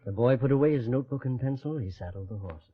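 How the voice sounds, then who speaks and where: A man narrating a scripted 1940s radio drama in a measured, literary storytelling way. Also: mid-range voice, animated, breathy edge. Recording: dull old-time radio recording. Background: music.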